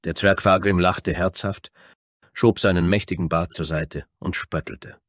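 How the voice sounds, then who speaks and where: A man's voice: low voice, steady tone, unhurried, no room sound, studio quality. A man narrating a scripted fantasy story in a dramatic storytelling style.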